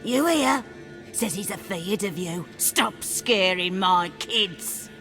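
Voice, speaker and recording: A woman's voice: medium pitch, animated, takes it slowly, music in the background. A woman playing a protective, suspicious old village granny in a fantasy video game, muttering and scolding in rustic dialect. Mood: scolding, wary. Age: older. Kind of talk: video game character acting.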